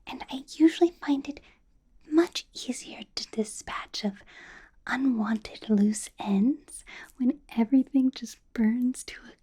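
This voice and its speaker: Late night in a vampire's candlelit office, an ancient lady boss leans close, whispering breathily and very slowly, doting and menacing, acted by a woman for ASMR. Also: on the high side, breathy edge, full character voice. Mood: sly, menacing, amused. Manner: slow, drawn-out, coaxing, soft chuckles and repeated words. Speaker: ASMR roleplay performer. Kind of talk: whispered ASMR roleplay, personal attention.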